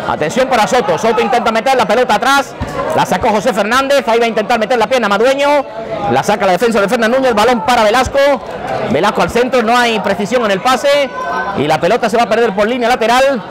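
High-voiced man calling live football. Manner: rapid running commentary naming players. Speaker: football commentator. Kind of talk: live play-by-play commentary.

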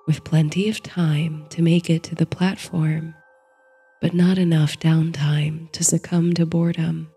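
Woman reading a sleepy bedtime story, gentle and mellow, bit husky n creaky. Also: fairly low pitch. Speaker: host and narrator of a sleep story series. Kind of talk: slow, soothing bedtime story and guided meditation narration.

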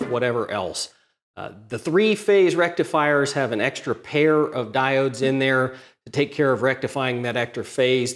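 A middle-aged man hosting a workshop channel, explaining a welder modification to the camera in a plain, methodical way, recorded in his home workshop. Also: medium pitch. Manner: methodical, plain-spoken, technical. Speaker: DIY welding channel host. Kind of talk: explanatory tutorial talk to camera.

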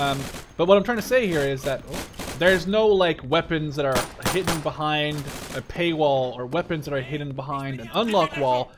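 Higher-voiced young man over loud gunfire. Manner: rambling, sweary, opinionated. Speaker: gaming video creator giving live commentary. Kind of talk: casual gameplay commentary. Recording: loud machine-gun game noise behind voice. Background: gunfire and clicks from a war game.

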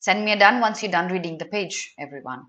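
A woman's voice: lowish voice, fast, pushed and bright. A young woman with an Indian accent teaching a live online exam prep class, giving instructions directly to students.

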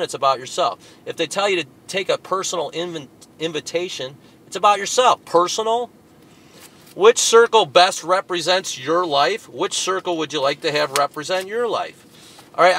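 A male preacher reads passages aloud and tears into them with emphatic, scolding force. His voice has a bright, forceful edge. He swings from loud outbursts to low, muttered asides over a faint background hiss. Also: vocal fry. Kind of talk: fiery sermon reading and rebutting a tract.

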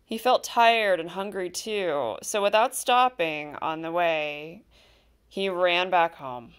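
A woman reading a children's picture book aloud, with expressive, deliberate storytelling and stressed key words. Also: fairly low pitch, smooth. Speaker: storybook reader.

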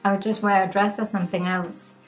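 A woman's voice: brisk, soft background music, low-quality recording. Earnest young woman vlogging from home. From Malaysia. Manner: earnest and chatty, with lively rises in pitch. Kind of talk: talking to camera, personal reflection. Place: home room with bookshelves, indoors.

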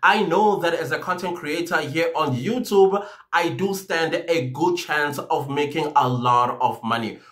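Man in his forties, higher-pitched voice, lively vlogger talking straight to his viewers from a home office; gestures constantly. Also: a little slow. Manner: animated, gesturing a lot, speaks to viewers directly. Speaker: YouTube creator. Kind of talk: casual talk to camera. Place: small home office, indoors.

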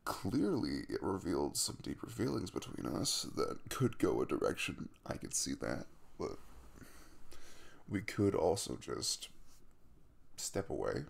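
A man acts out a caring young man in an intimate ASMR roleplay, talking to his crush. His low voice crackles with fry and swings widely in pitch. He is casual and hesitant, pausing as he reassures. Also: very animated, exaggerated voice. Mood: tender, earnest. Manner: hesitant, reassuring, with soft chuckles and trailing pauses.

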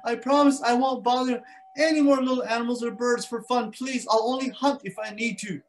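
A man voicing a big, boastful male mountain lion, a bully, in a spoken folk tale, blustering loudly. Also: very high voice, steady tone, brisk, voice pushed up. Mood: pleading. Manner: blustering, then pleading.

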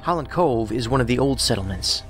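A man playing a sardonic federal agent in an audio drama, with a deadpan, clipped delivery. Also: medium pitch, brisk, music in the background, close to an everyday voice. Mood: tense, focused.

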